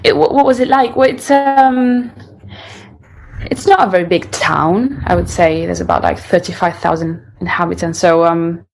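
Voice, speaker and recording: Young Italian woman speaking English, low-pitched. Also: moderate pace, creaky. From Italy. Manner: thoughtful, restarts often. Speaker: singer-songwriter guest. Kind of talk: casual interview.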